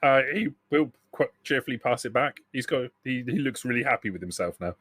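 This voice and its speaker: Halting male game master, dry sound. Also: medium pitch, animated, fairly bright tone, slightly rough, no echo. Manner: halting, repeats and rephrases himself. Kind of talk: improvised tabletop game narration.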